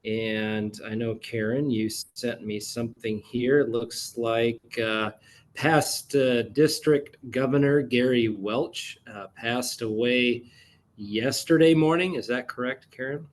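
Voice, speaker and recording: A man's voice: mid-range voice, slow pace. Man running a club meeting. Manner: hesitant, many fillers. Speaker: club president. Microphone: video call.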